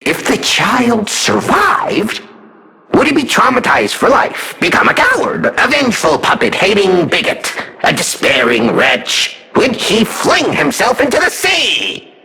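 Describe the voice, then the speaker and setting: A man playing a sadistic killer puppet, the self-styled king of riddles, in a video game, with a gravelly voice and a mocking, gleefully dramatic delivery. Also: high voice, huge pitch swings, a little slow, pushed and bright, full character voice, poor audio. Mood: sinister relish. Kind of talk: theatrical video game villain monologue.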